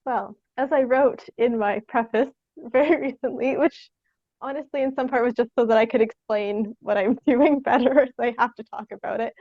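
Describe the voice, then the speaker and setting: A young woman from Canada answering interview questions casually, in a tentative, halting, giggly way. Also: a little high, steady tone, no echo. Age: young adult. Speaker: guest, theatre artist. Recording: dry, dull lo-fi sound.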